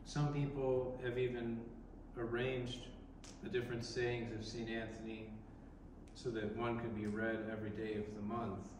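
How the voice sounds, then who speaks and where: A man in his thirties, an Orthodox priest, giving a reflective sermon with pauses, recorded from a distance in a large church. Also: medium pitch, level tone. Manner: slow, reflective, with pauses and restarts. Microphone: distant camera in the congregation. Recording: echoey church from far off, background noise.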